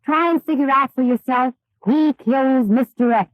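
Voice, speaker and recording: A woman's voice: high voice, moderate pace, no room sound. A woman giving a scripted sign-off in 1930s radio comedy style. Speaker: radio show performer signing off. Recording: narrow, dry 1930s radio recording.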